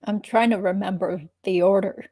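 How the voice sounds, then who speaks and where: A woman reading the part of a witness in a deposition, answering in a guarded, terse and measured way. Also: medium pitch, steady tone, warm tone, breathy edge. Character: guarded female witness giving terse answers under questioning. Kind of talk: dramatic reading of a deposition transcript.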